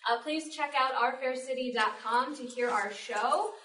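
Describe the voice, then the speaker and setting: A woman's voice: high-pitched, moderate pace, bright, forceful tone. A woman hosting a panel, speaking informally to a live audience. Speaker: panel host. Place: live panel in a public library room. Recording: echoey room, distant mic, background noise.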